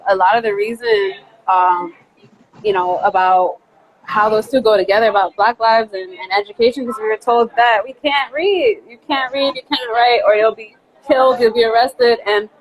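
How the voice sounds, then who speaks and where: A woman's voice: fairly high pitch, fairly even intonation, normal speed, rough recording. A woman guest on a video call chat, speaking earnestly with many fillers. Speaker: guest, business workshop presenter. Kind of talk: casual chat.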